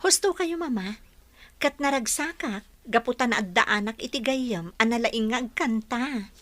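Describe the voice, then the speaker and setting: An actress as a friendly young nurse in a radio drama, speaking with an Ilocano accent. Her voice is high and bright, recorded dry. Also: unhurried, no room sound. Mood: happy. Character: friendly, forgiving young nurse, dutiful daughter.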